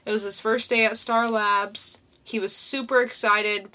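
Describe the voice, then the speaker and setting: Slow-talking bright young woman co-host. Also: medium pitch, little pitch movement. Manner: unhurried, chatty, summarizing plots. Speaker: podcast co-host. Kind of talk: casual comic review chat.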